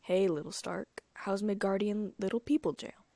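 A woman's voice: mid-range voice, fairly even intonation, moderate pace, darkish tone, dry recording, natural voice. A woman voicing a wry, amused trickster god who is fond of a little girl. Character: mischievous godlike trickster man, fond of child.

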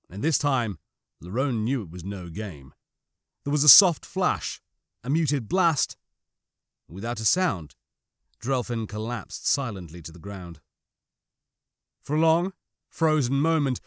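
A man's voice: mid-range voice, no room sound. Male audiobook narrator, middle register, suspenseful and dramatic, recorded dry.

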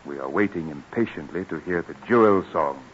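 An actor playing a kindly, courtly American gentleman poet in an old radio drama, speaking formally and with measured encouragement. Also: low voice, slightly rough. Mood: courtly, encouraging. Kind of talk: 1940s radio drama acting. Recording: muffled old radio recording.

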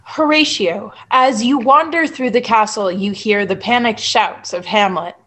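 Woman, game master, scripted scene narration, fairly high voice. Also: a little slow, fairly bright tone, rough recording.

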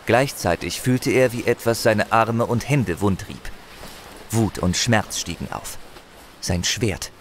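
A man's voice: lowish voice, slow pace, slight background noise. A man narrating a fantasy audio drama as a grave, intense storyteller, with music and effects behind him. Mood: grave, dramatic.

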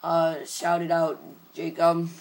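A teenage boy with a hoarse voice, chatting casually and jokingly into a webcam from his room, wearing a gaming headset. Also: on the high side, completely flat delivery, slow, low-quality recording. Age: teens. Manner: rambling, joking, tired. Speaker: vlogger. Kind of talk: casual chat. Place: small room at home, indoors.

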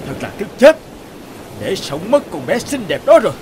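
A man's voice: high-pitched, huge pitch swings, moderate pace, a little mellow, slight background noise. A man voicing a menacing, lustful sea god in a cartoon fairy tale, commanding and boastful. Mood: angry, commanding. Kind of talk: animated fairy-tale acting.

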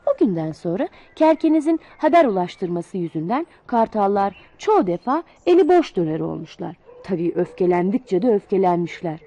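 A woman reading a children's fable aloud in an expressive, sing-song storybook manner. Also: mid-range voice, normal speed, few pauses, lo-fi sound.

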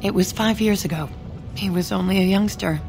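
A woman voicing a grieving middle-aged dwarf widow in a video game, speaking in a mournful, solemn way with a low, gravelly voice. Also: fairly quick, natural voice, rough recording. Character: grieving older dwarf widow praying for lost son. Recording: game audio with music underneath.

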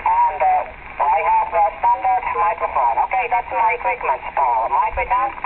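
A man with a Finnish accent talking as a radio operator over shortwave, haltingly and repeating phrases for clarity. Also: fairly high pitch, expressive, creaky. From Finland. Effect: shortwave radio transmission. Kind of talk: radio contact chat with phonetic spelling. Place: heard through a radio receiver's speaker. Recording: tinny, noisy shortwave radio reception.

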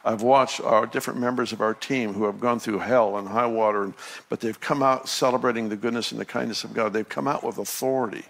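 A man's voice: medium pitch, fairly even intonation, fairly quick, gravelly. A man in his sixties, a pastor talking with a guest in an earnest, reflective way with frequent restarts. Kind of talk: conversational ministry interview.

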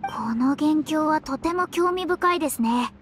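A woman's voice: high voice, measured pace, a bit bright. A woman voicing a refined, composed young female astrologer in a video game, speaking politely and articulately. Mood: fascinated, composed. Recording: music behind the voice.

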